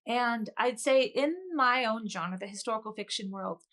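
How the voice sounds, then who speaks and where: A woman's voice: a little high, normal speed, fairly bright tone. A woman speaking as a guest author in a conversational interview, chatting and telling personal stories in an earnest way.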